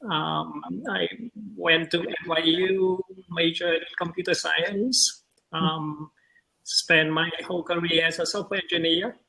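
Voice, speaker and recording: A transgender woman in her fifties who grew up in Vietnam, speaking slowly and hesitantly as a guest on a video call. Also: lowish voice, fairly even intonation, gravelly, bright, forceful tone, low-quality recording. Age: 50s. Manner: slow, hesitant, with many pauses. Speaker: guest, nonprofit board president. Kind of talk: casual video call interview.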